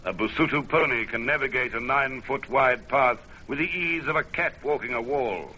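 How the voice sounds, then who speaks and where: A man's voice: mid-range voice, moderate pace, pushed and bright, breathy edge, rough recording. A man playing a dramatic storyteller, narrating an old-time radio adventure in a theatrical, incantatory way. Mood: suspenseful. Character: dramatic male storyteller of a perilous mountain climb.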